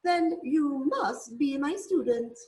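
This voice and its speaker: In an echoey room, a woman puts on a bossy little female songbird teacher from a storybook. The voice is high, chirpy and swooping, full of eager insistence. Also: expressive, slow, warm tone, slight background noise. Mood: insistent. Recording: roomy, echoing indoor sound.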